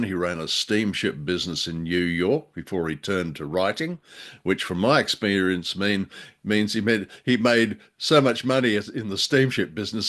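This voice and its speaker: A man with a low voice and an Australian accent talks casually as a podcast co-host. He is hesitant and wry, telling things in a dryly humorous, conversational way. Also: a bit bright. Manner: hesitant, wry, chuckling storyteller with dry humour. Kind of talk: casual chat.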